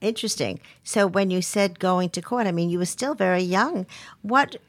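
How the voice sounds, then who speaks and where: A woman's voice: medium pitch, normal speed, no echo. A woman hosting a podcast interview, introducing a guest and asking questions with enthusiasm. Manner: enthusiastic, promotional. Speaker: podcast host, publisher.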